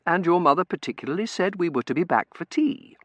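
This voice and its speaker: A narrator voicing a kindly, sensible young woman with a quiet, cultured and polite manner in a storybook reading. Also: medium pitch, animated, a little fast, no echo, pitched above the performer's own voice. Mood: mildly protesting.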